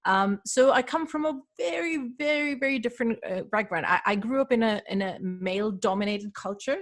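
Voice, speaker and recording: A woman's voice: a little high, a little fast. A woman from Pakistan, a guest on a podcast, chatting casually with frequent laughs and restarts. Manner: chatty, laughs often, many restarts and fillers. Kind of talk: casual interview chat.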